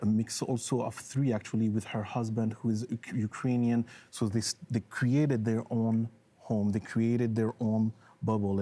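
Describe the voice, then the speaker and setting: A man from France, a debate panelist, speaking thoughtfully with halting restarts. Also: lowish voice, warm tone. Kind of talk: debate argument.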